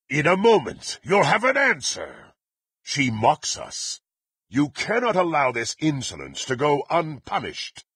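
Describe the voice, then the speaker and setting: An actor playing a brutal, bloodthirsty fantasy warrior in a video game, haughty and threatening, with a gruff, raspy voice and formal archaic diction. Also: male voice, mid-range voice, a bit bright, no room sound, clean studio sound, heavily acted. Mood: scornful anger. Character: brutal, menacing, bloodthirsty male warrior, contemptuous and impatient. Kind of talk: theatrical fantasy game voice acting.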